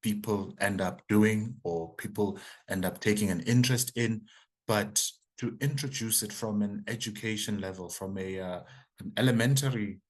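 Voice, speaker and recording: A man's voice: fairly low pitch, fairly even intonation, moderate pace. A man in his forties with a South African accent, a native isiZulu speaker, answering interview questions as a choral conductor and composer. He speaks thoughtfully, often restarting and repeating words as he searches for them. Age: 40s.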